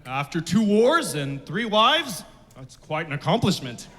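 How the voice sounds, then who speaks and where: A man's voice: fairly high pitch, sing-song, measured pace, fairly bright tone. A man playing Friedrich, a boastful old man of eighty-nine, in a live stage skit. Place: theater stage. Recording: theater hall reverb.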